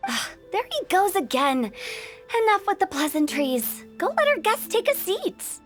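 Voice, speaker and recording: A woman playing a playful, breezy, bossy young director in a video game, with a brisk and confident informal manner. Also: extremely high pitch, very animated, faint music behind, exaggerated voice. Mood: breezy, teasing. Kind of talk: lively video game voice acting.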